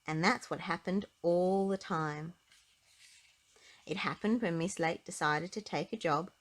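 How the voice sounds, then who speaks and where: A woman narrating a children's storybook aloud in an expressive, emphatic way with clear phrasing. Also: lowish voice, unhurried.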